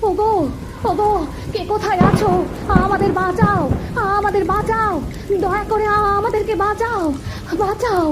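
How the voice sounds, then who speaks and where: Woman as a high-pitched, panicked village mother. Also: flat delivery, moderate pace. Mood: desperate pleading. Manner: repetitive, wailing, pleading. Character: panicked pious village mother begging for mercy. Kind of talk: cartoon horror voice acting. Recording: rain and thunder noise over voice. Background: rain and thunder.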